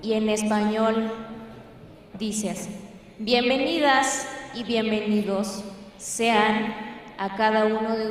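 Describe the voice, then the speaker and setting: Slow-paced Mexican woman announcing in echoing hall. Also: mid-range voice, far from the mic. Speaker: master of ceremonies. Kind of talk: formal ceremonial event announcing. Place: large event hall. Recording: very echoey hall, amplified, faint noise.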